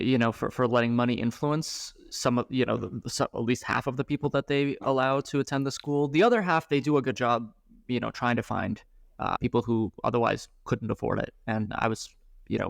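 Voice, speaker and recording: Hesitant founder with a gravelly voice. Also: male voice, mid-range voice, fairly even intonation, no echo, studio quality. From grew up abroad, moved to the US at eleven. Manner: halting, with many restarts and fillers. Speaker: startup founder. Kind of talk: casual podcast interview.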